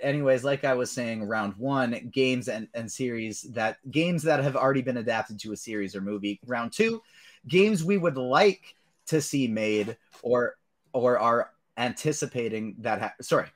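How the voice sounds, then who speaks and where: A man's voice: medium pitch, a bit bright. A man hosting a casual panel show, talking informally as he runs things. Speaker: podcast host.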